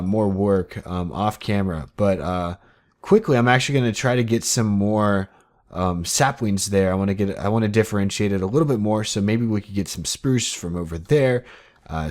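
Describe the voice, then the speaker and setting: A man's voice: lowish voice, normal speed, a little mellow. An eighteen-year-old man hosting his own game channel, talking casually and rambling upbeat with lots of fillers. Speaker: gaming channel host. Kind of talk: casual Minecraft commentary.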